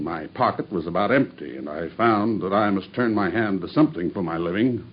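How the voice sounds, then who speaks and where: Dying vengeful cab driver, grave and steady, very low voice, muffled old broadcast. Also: male voice, slightly rough. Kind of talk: 1950s BBC radio drama acting. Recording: dull old radio broadcast.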